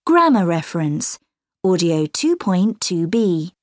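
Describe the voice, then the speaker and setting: A woman announcing audio track titles in a crisp, formal manner. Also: lowish voice, sing-song, slow pace, no room sound, studio quality. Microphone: dry studio mic.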